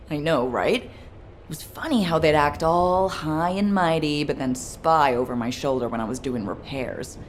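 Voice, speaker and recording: A woman's voice: low voice, measured pace, a little hiss or hum. An actress voicing a candid young woman who does repairs for a band of soldiers in a video game. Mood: wry, worried.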